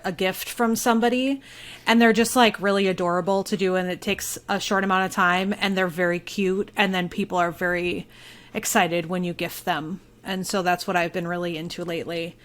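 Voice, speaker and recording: A woman's voice: mid-range voice, a bit bright. A woman guest on a talk show, playful and joking in the way she speaks. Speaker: guest, local journalist. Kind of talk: casual chat with dramatic reading of public comments.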